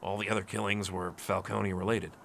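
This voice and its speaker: An actor playing a weary, wary policeman in a noir radio drama, his voice creaky and gravelly. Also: lowish voice, level tone, a little fast. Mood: puzzled, dry. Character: weary, wary adult male police officer. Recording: loud fireworks and noise behind.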